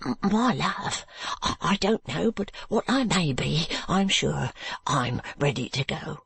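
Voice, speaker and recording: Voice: medium pitch, expressive, slow, creaky, fairly bright tone, husky, no echo, exaggerated voice. A reader voicing a meek, nervous middle-aged stationer who stammers and pleads anxiously.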